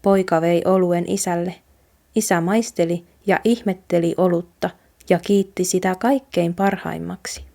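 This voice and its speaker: A woman's voice: on the low side, steady tone, creaky, mellow. A woman from Finland narrating an old folk tale aloud from a storybook. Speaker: Finnish teacher reading aloud.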